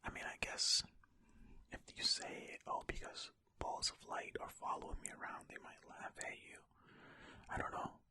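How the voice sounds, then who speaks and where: An ASMR host reading a story in a soft, breathy, unvoiced whisper, close to the mic, with conversational, musing asides. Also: moderate pace. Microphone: close mic, ear to ear.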